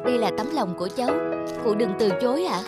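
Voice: medium pitch, lively intonation, fast talker, loud music behind the voice, lightly acted. An actor playing an earnest, polite young male street seller in a cartoon. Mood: kind, earnest.

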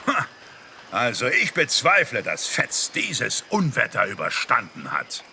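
A man's voice: mid-range voice, huge pitch swings, a little slow, vocal fry, pushed and bright, slight background noise, exaggerated voice. A man playing a doubtful, emphatic islander in a children's audio drama.

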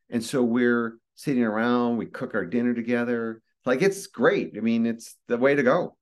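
A middle-aged man with an American accent giving an informal, explanatory talk on a video call, conversational and repeating phrases for emphasis. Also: mid-range voice. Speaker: guest expert giving a presentation. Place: indoor room, video call. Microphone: video call microphone.

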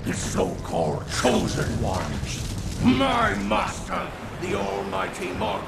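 Video game villain: a crazed plant king, gravelly and high for a man, pompous bully, loud music behind. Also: reverberant, heavily acted. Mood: menacing, grandiose. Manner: blustering, pompous, commanding. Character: mad, bullying plant king serving an evil master. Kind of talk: video game cutscene acting.

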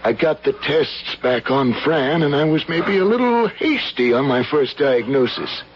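A man's voice: on the high side, animated, a little slow. An actor playing a caring male doctor with a hesitant, fussing manner in a 1970s radio drama. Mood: sympathetic. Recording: muffled, noisy vintage radio broadcast.

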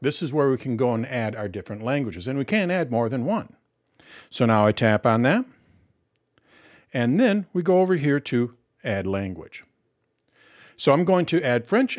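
A man's voice: mid-range voice, no echo, studio quality. A middle-aged man hosting a tech tutorial, explaining each step and answering his own questions. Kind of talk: screen-recorded tutorial walkthrough.

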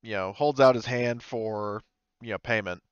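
A man casually running a tabletop sci-fi game as game master, thinking aloud in a halting, offhand way. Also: fairly low pitch, clean tone, dry recording. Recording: muffled voice-chat audio.

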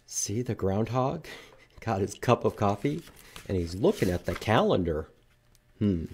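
A man in his fifties reading to young children as an animated library storytime host. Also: lowish voice, unhurried, slight background noise. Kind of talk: children's storytime read-aloud. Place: indoors in a library room.

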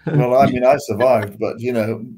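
A man's voice: medium pitch, moderate pace, mellow, a little hiss or hum, poor audio. An older British man giving his opinions as a panelist on a video call, hesitant and reflective as he thinks aloud. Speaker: news discussion panelist. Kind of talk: casual opinion discussion. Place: living room at home. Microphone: video call, seated back from the camera.

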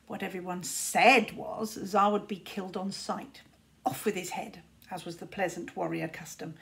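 Woman reading a children's storybook expressively. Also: medium pitch. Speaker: teacher reading aloud. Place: small room at home, indoors.

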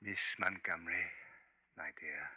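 A man's voice: low voice, steady tone, a little slow, pushed and bright, husky, dry recording, full character voice. An actor playing a distracted, curt male professor with a hesitant, abrupt manner in 1950s radio style. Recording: dry 1950s radio recording.

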